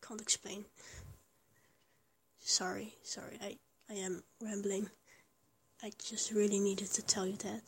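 A woman's voice: medium pitch, flat delivery, measured pace, a little hiss or hum, lightly acted. A woman voicing a small, affectionate young lover who whispers, stammers and rambles between giggles. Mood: tender. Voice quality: breathy whisper. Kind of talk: whispered intimate roleplay audio.

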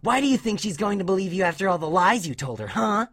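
A woman voicing a bullying, hot-tempered adult female cartoon pony, loud and scornful in a dramatic story reading. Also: mid-range voice, expressive, quick pace, breathy edge. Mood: menacing. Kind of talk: dramatic fan-fiction character acting.

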